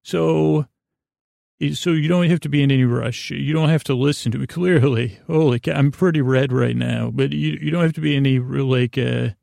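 A man hosting a bedtime podcast in a halting, digressive monologue, goofy and self-deprecating, with a creaky voice. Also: mid-range voice, a little mellow, no room sound, studio quality. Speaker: podcast host.

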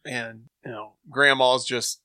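A man in casual chat, rambling enthusiastically with thinking pauses. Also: medium pitch, steady tone, sharp tone, clear, resonant tone, dry recording. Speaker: podcast host.

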